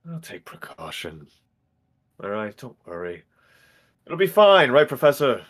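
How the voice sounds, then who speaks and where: A condescending male university academic, acted out by a man at a tabletop game. The voice is husky and swings widely in pitch, brash and theatrical, talking down to whoever is listening. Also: sing-song, normal speed. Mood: dismissive.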